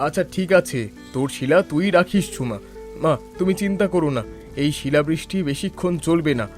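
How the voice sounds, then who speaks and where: Man acting a high-voiced, helpful village lad. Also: brisk, soft background music, close to an everyday voice. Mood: cheerful, reassuring. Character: helpful, easygoing young village son and neighbour. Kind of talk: animated family drama voice acting.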